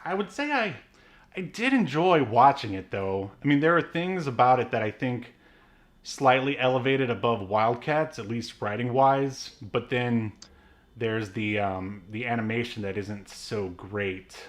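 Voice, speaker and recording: A man's voice: medium pitch, expressive, measured pace. A man co-hosting a podcast in casual chat, rambling with many fillers and restarts. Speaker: podcast co-host.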